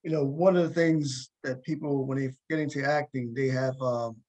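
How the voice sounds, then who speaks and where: A man's voice: mid-range voice, steady tone, moderate pace, a little mellow, low-quality recording. A man hosting a talk show, interviewing conversationally and often repeating words as he forms his questions.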